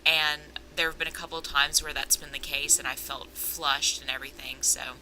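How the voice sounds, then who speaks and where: A young woman with a low, sharply bright voice talks casually to her camera, her throat sore and swollen. She rambles and catches herself on tangents. A faint hiss of noise sits behind her. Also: little pitch movement, brisk, low-quality recording. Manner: rambling, self-aware, trails off on tangents. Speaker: vlogger. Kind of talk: casual personal vlog.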